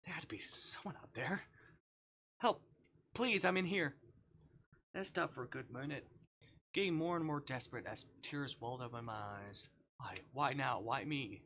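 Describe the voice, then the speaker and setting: A man's voice: mid-range voice, normal speed, pauses often. A man livestreaming a visual novel, reading it aloud theatrically and reacting as he goes. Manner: theatrical, swings from hushed narration to shouting.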